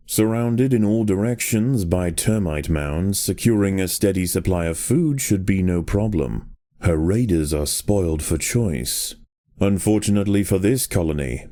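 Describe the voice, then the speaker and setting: A man narrates in the manner of a nature documentary, with a very deep, mellow voice. His delivery is measured and solemn, with a sense of drama. The sound is dry and close, as scripted game narration. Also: dry recording.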